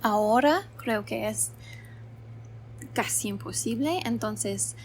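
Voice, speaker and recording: A young woman, a native English speaker, chatting casually in a learned language, speaking slowly and haltingly with many fillers and frequent laughs. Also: on the high side, lively intonation, a little hiss or hum. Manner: slow and halting, searching for words with many fillers; laughs often while talking. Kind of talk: casual chat to camera.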